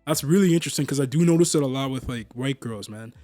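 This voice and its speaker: A man's voice: medium pitch, fast talker, mellow, no echo, faint music behind. A young man co-hosting a podcast, rambling through his thoughts with lots of fillers and calling his friend bro. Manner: rambling, filler-heavy, says bro a lot. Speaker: podcast co-host. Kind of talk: casual chat.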